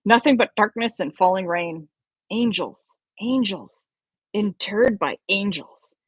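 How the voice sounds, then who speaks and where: A woman's voice: mid-range voice, lively intonation, slow, slightly rough, no room sound. A woman reading a story aloud expressively as an amateur audiobook reader.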